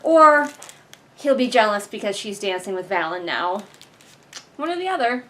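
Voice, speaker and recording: A woman's voice: medium pitch, sing-song, moderate pace, fairly bright tone, a little hiss or hum. A woman hosting a casual read-along, reviewing a book with exasperated, sarcastic commentary. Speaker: book reviewer and video host.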